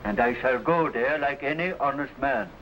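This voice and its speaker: A man's voice: medium pitch, moderate pace, a bit bright, lightly acted. An actor playing a proud, resolute Swiss father in an old-time adventure serial, speaking in solemn, archaic formal language. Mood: defiant. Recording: noisy vintage broadcast recording.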